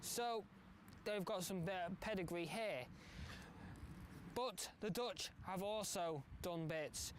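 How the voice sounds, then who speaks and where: A man narrating a football documentary in a measured, explanatory way. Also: sits high, a little hiss or hum.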